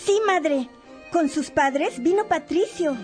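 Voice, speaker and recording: A woman's voice: high-pitched, slow, darkish tone, soft background music. A woman playing a sweet, obedient, well-bred adolescent daughter in a radio drama, polite and demure.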